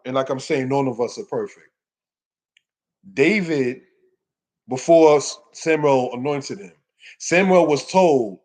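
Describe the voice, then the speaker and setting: A man teaching scripture in an informal sermon, exhorting his listeners with emphatic phrasing and rhetorical questions. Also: on the high side. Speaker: religious teacher giving a scripture lesson. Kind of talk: sermon, informal Bible teaching addressed to a congregation.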